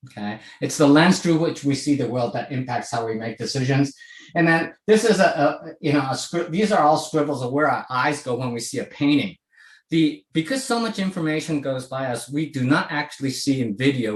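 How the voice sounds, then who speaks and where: A man in his sixties speaks with a lively, rising and falling pitch, checking in with his listeners as he goes. The sound carries a noticeable room echo, as if picked up over a video call. He from Hong Kong talks in a loose conversational way. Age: 60s. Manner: conversational, frequent "okay?" check-ins. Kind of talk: presentation to a virtual audience.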